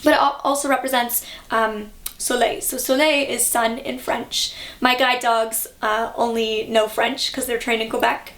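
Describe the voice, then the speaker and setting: A woman's voice: fairly high pitch, flat delivery, a little slow, fairly bright tone. Chatty young woman in an echoey room. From Canada. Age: young adult. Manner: chatty, reflective, many fillers. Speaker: vlogger. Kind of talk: casual vlog talk.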